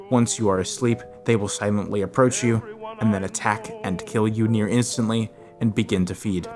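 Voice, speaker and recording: Low-voiced man narrating over music. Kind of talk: scripted explainer narration of horror lore. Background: background music.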